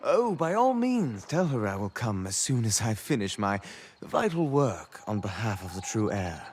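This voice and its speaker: A man's voice: mid-range voice, a little mellow, slight background noise. A man playing a self-important, dismissive court minister in a fantasy video game, speaking in a pompous, theatrical way. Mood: put-upon, dismissive. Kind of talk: video game character dialogue.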